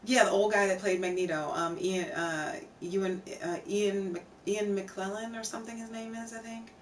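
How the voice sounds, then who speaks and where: A young woman with a hoarse, tired voice, chatting casually with her viewers on a home live stream and filling her talk with "like" and "um". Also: mid-range voice, steady tone, moderate pace, distant mic, a little hiss or hum. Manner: chatty, reads out chat names, many fillers. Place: home streaming room with shelves.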